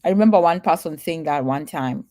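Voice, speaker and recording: A woman vlogger from Kenya talks straight to her viewers in a loose, chatty way. Her voice sits a little low for a woman, and she leans into her warnings, saying them again and again. She laughs easily between points. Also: brisk, mellow. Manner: earnest, emphatic, repeats warnings, laughs easily. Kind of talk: casual livestream talk to viewers.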